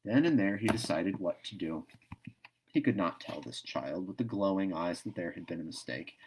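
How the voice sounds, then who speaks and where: A man's voice: low voice, lively intonation, normal speed, background noise. A man narrating a classic novel as a storyteller reading aloud.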